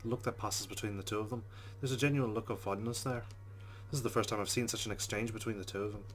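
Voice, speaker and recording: A man hosting a visual novel playthrough who reads the narration aloud and drops in wry comments. He talks quickly in a lowish voice. Game music plays clearly behind him. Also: steady tone. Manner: brisk, wry asides. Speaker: let's play host.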